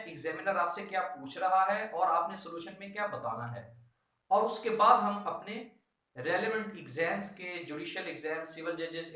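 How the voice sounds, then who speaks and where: A man's voice: high-pitched, sharp tone. A man with a Pakistani accent giving an instructional law lecture, emphatic, repeating key instructions and mixing in English terms. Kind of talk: instructional lecture for exam students. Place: echoey room with a whiteboard. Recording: very echoey room, distant mic.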